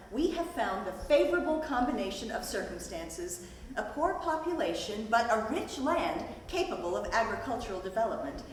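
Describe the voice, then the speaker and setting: Actress as earnest lady founder giving a stage speech, highish voice, really echoey and far from the mic. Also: natural voice. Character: earnest woman founder of a rural folk school. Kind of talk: scripted stage play narration. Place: stage performance at a festival. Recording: echoey hall, distant mic, noisy.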